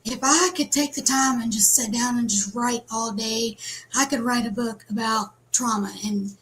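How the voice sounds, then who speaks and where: Higher-voiced woman speaking openly. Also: steady tone, normal speed, fairly bright tone, slight background noise, rough recording. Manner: open, personal, emotional. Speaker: podcast co-host. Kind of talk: casual podcast chat.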